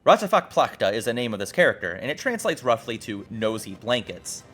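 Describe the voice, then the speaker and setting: A man's voice: medium pitch, very animated, brisk, fairly bright tone. A man narrating a video essay as host in a wry, exclamatory, casual style with some swearing. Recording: music behind the voice. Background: background music.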